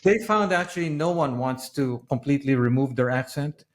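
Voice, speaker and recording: A man from the Philippines hosting a podcast in casual interview chat, halting and often repeating the start of his phrases. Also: mid-range voice. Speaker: podcast host.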